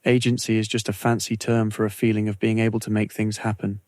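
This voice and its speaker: A man narrating a scripted guided meditation in a measured, soothing way, with deliberate phrasing and pauses. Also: lowish voice, flat delivery, darkish tone, no room sound.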